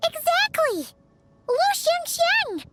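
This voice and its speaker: Game fairy sidekick: small, childlike, very high, animated, inquisitive and exclamatory. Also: female voice, slow pace, over loud music, heavily acted. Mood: surprised, eager. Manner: exclamatory, refers to self in third person. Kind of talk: video game voice acting.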